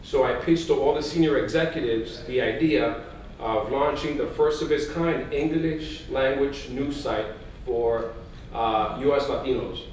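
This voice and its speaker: A man from Puerto Rico speaks on a conference panel, telling his story with lively energy and slipping into Spanish now and then. His voice sits at an ordinary male pitch. It is picked up from a distance in a very reverberant hall, with faint noise in the background. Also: a little slow. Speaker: news executive on a panel. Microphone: distant mic in an echoey hall. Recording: echoey hall recording from far off, faint noise.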